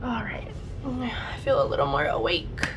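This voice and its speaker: A young woman vlogger chatting casually through a makeup routine, her voice a bit raspy and her nose congested from a cold. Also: mid-range voice, very animated, measured pace, background noise. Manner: chatty, rambling, lots of "like" and "super". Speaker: beauty vlogger. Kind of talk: casual makeup tutorial chat.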